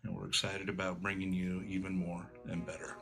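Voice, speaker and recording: A man's voice: sits low, completely flat delivery, a little fast, creaky, fairly bright tone, faint music behind. A man co-hosting a podcast, talking casually to listeners and colleagues. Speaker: podcast co-host.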